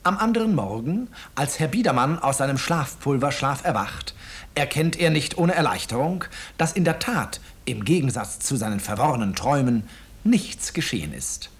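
A male actor playing a wry, deliberate storyteller who narrates a 1950s German radio drama with irony. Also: mid-range voice, expressive, breathy edge.

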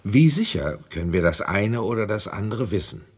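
An older widowed general and father in a radio play. His voice is low and husky, and it moves through a wide range. He is courtly and easily stirred. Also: lively intonation, moderate pace. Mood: concerned, courteous. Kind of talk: scripted German radio drama acting.

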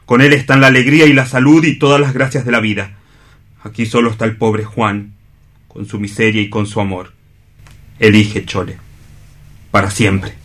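A male actor plays an anguished man of wounded pride in a radio play. He speaks in solemn, deliberate phrases, declaiming his bitterness and self-pity with a noble air. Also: lowish voice, fairly even intonation, a bit bright. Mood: bitter challenge. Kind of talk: theatrical radio play reading.

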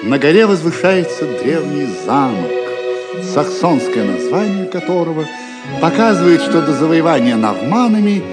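A man narrating an audio play in an expressive, solemn storytelling style. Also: a little high, normal speed. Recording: music under the voice. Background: music.

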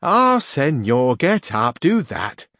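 A man's voice: mid-range voice, wildly swooping pitch, slow pace, fairly bright tone, no echo, pitched above the performer's own voice, exaggerated voice. A humble, sturdy countrywoman past forty, voiced by a male reader. She talks plainly and sounds flustered. Her voice sits above the reader's own in a dry, close room.

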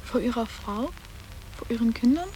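A woman's voice: a little high, animated, moderate pace, warm tone, slight background noise, natural voice, poor audio. An actress playing a cool, provocative schoolgirl with sarcastic, blunt and terse delivery in a radio play. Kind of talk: German radio play acting.

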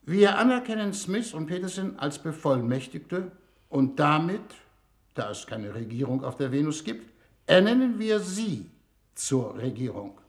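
A man's voice: a little high, sing-song, unhurried. An actor in a German-language 1960s radio drama playing a shrewd, commanding statesman who speaks formally and persuasively. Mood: calculating, insistent. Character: shrewd, smooth-talking male statesman leading a Venus mission.

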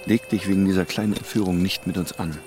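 An actor playing a smug, corrupt policeman in a radio drama, with a sardonic, unhurried and menacing way of talking. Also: low voice, steady tone, music in the background, lightly acted. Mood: quietly threatening. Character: smug corrupt policeman leading a secret group, coolly threatening.

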